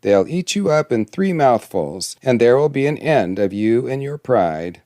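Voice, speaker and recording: A man's voice: mid-range voice, sing-song, unhurried, a little mellow, no echo, studio quality. A man voicing a spiteful, boastful little storybook dwarf. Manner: taunting, self-important. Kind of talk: fairy tale storybook reading.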